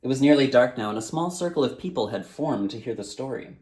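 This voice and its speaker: Expressive man narrating a storybook. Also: mid-range voice, fast talker. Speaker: author reading own book aloud.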